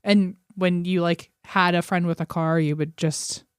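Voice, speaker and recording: A woman's voice: on the low side, steady tone, measured pace, clear, resonant tone, dry recording, studio quality. An American woman chatting casually and comically on a podcast, telling stories in a rambling way. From Virginia, United States. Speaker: podcast host and guest. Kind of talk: casual comedic podcast chat.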